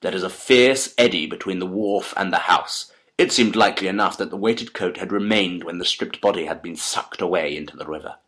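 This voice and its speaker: An actor playing a keen, analytical detective in a dramatised audiobook, speaking articulately and emphatically in formal period diction. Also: male voice, deep voice, brisk, few pauses, a bit bright. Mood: deliberate, intrigued.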